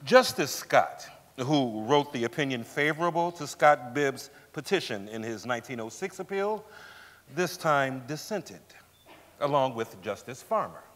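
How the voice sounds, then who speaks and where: A man's voice: medium pitch, animated, unhurried, a bit bright. A man playing a formal narrator, giving emphatic scripted narration in a stage reading. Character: formal male narrator of a court history. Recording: reverberant theatre hall.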